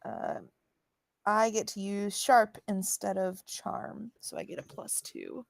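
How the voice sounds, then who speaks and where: A woman's voice: mid-range voice, wildly swooping pitch, slow, vocal fry, dry recording, studio quality. A woman chatting casually as a player in a tabletop role-playing game.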